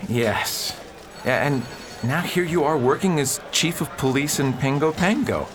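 A man's voice: fairly high pitch, lively intonation, measured pace, faint music behind. A man playing an earnest small-town preacher. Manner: earnest, pleading. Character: earnest, upright male preacher, husband. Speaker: reverend at a mission. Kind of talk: amateur radio drama.

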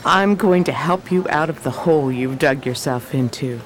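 An actress playing a shrewd, self-assured woman sleuth in a radio drama, with a brisk, knowing, wry delivery. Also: sits low, faint music behind, close to an everyday voice. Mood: confident. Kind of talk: old-time radio drama acting.

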